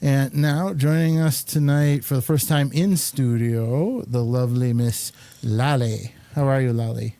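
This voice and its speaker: A male show host chatting casually in a soft-edged, mellow voice. He takes his time, rambling and halting as he goes. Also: medium pitch, fairly even intonation. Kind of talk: casual studio chat.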